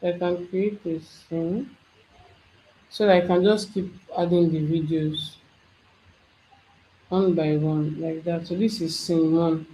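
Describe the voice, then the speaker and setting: Mellow-voiced tutorial instructor. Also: mid-range voice, steady tone, slow, long pauses, slight background noise, poor audio. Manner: explains step by step, thinking aloud. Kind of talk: screen-share software tutorial.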